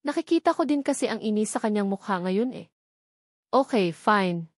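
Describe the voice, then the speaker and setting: A woman's voice: fairly high pitch, expressive, fast talker, mellow, no room sound. Woman narrating a romance novel, dry recording. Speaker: audiobook narrator. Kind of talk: scripted first-person romance narration.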